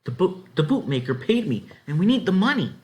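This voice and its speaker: A man voicing an angry, worn-out farm wife in a storybook, bossy and scolding in rustic speech, shrill when upset. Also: sing-song, a little fast. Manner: scolding, rustic folksy talk.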